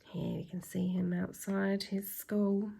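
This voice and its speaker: A woman reading a children's story aloud with dramatic emphasis, pausing to put questions to her young listeners. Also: medium pitch, flat delivery, gravelly, warm tone, slight background noise. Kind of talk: expressive storytime narration with asides to children.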